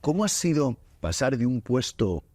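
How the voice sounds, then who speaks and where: A man from Spain hosting a podcast interview in a studio, talking in a relaxed, halting way with many fillers and restarts. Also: medium pitch, no echo. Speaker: podcast host. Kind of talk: casual interview chat.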